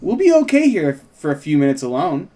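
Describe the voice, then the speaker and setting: A man plays a self-assured woman reporter in a script read-through. The voice sits fairly high and keeps an everyday, probing manner, as if questioning someone who is holding back. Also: very animated, fairly quick, dark tone. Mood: confident.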